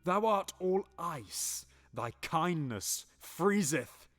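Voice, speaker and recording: A man's voice: a little high, animated, extremely slow, a bit bright, soft background music, exaggerated voice. An actor playing a scheming, paranoid usurping king in a Shakespearean audio drama, swinging between menacing whispers and sudden outbursts.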